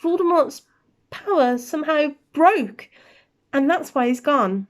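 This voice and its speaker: A woman's voice: high-pitched, lively intonation, slow pace, pitched above the performer's own voice. A woman voicing an anxious, stern older woman professor in a storybook, with exclaiming, emotional delivery. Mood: anxious, astonished. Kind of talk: storybook character reading.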